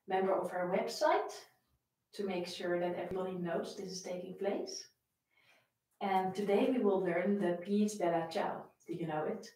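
A woman's voice: medium pitch, darkish tone, distant mic. Dutch-accented woman in a roomy space. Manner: friendly, greeting viewers by name, chatty. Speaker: violin teacher. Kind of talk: live-stream lesson chat. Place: home room with framed pictures, indoors.

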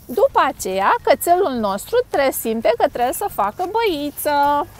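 Animated, high-pitched woman reading to children. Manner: expressive, playful, simple phrasing for small children. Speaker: storytime host. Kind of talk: animated children's picture-book read-aloud. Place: outdoors on a grassy lakeside bank.

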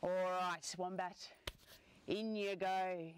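A woman voicing a cheeky, hungry male dingo in a children's story, gloating in a singsong way with drawn-out words. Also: lowish voice, fairly even intonation, smooth, dry recording. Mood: gleeful, hungry.

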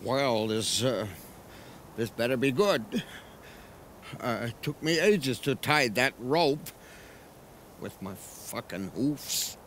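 A man voicing a grumpy, anxious sheep in an animated film, hesitant and stammering as he pleads. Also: mid-range voice, slow pace, long pauses. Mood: grumbling, doubtful. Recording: faint outdoor ambient noise behind.

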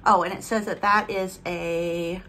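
A woman's voice: fairly low pitch, fairly even intonation, unhurried, a bit bright, a little hiss or hum. A woman in her thirties chatting casually in a home vlog, rambling while she unboxes products, with a slight lisp from aligners. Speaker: vlogger. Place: bedroom at home, indoors.

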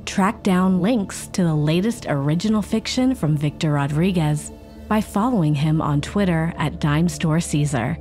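A woman's voice: low-pitched, normal speed, a little mellow. A woman announcer reading a scripted outro. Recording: music under the voice. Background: music.

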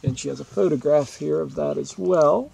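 High-pitched male teacher reading aloud. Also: normal speed, gravelly, breathy edge, rough recording. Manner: expressive, explanatory reading aloud. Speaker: fourth-grade teacher. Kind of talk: read-aloud lesson for children, with asides. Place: outdoors beside a wetland lake.

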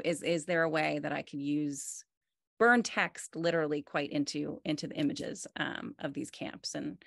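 Woman, 40s, low and a bit gravelly, dry close video-call sound; relaxed, thoughtful interviewee. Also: dry recording. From United States. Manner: relaxed, thoughtful, many fillers and restarts. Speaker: artist. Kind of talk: conversational interview. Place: home room with wood-panelled wall.

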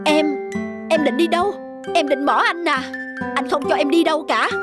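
A man voicing a proud, jealous husband in an animated drama, emotional and blunt. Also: very high voice, normal speed. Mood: remorseful. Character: proud, jealous husband working as delivery driver. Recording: music behind the voice.

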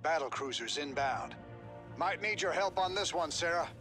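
A man voicing a gruff, easygoing, middle-aged rebel commander in a video game, with a laconic, casual drawl. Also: on the high side, lively intonation, moderate pace, close to an everyday voice. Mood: steady, urgent. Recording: game music behind.

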